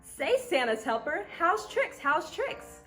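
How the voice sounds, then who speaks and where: A woman's voice: extremely high pitch, very animated, slow, sharp tone, soft background music, full character voice. A woman playing a cocky, eager little paperboy in an old-time radio advertisement. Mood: cheeky, eager.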